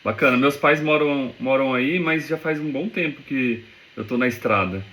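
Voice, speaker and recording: Male business owner interviewee, measured and polite, video call, light background noise. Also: medium pitch, sharp tone. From Goiânia, Brazil. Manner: polite, measured, repeats words.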